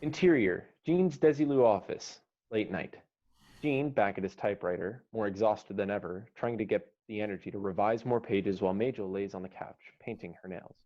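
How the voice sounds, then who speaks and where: A man's voice: on the low side, normal speed. A man reading screenplay stage directions as narrator at an online table read. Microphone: video call. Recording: dull lo-fi online call audio.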